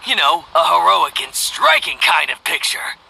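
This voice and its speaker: A man playing an earnest, cajoling male ship crewman in a video game, animated and persuasive. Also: fairly high pitch, normal speed, creaky, slight background noise, exaggerated voice, low-quality recording. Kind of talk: voiced video game dialogue. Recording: game music behind the voice. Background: game background music.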